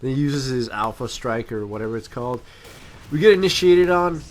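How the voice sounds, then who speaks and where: A man's voice: mid-range voice, expressive, fairly quick. Rambling man giving casual game commentary. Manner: rambling, self-deprecating. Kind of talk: casual amateur gameplay commentary.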